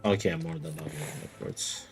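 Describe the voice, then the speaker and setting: A man's voice: a bass voice, animated, fairly quick. A man streaming a game, chatting casually with a friend in a dry, joking way and laughing often. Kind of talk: casual gaming chat. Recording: music playing behind.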